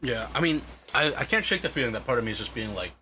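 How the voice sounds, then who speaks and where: A man's voice: medium pitch, expressive, fast, bright, forceful tone, a little hiss or hum. A young man co-hosting a casual chat podcast, blunt and opinionated. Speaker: podcast co-host.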